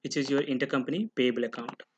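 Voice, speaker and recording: A man with a mid-pitched voice narrates a software lesson over background noise and clicking. He explains things step by step, often asking a question and then answering it himself. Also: fairly even intonation, quick pace, dull audio. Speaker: software instructor. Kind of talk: screen-recorded tutorial narration.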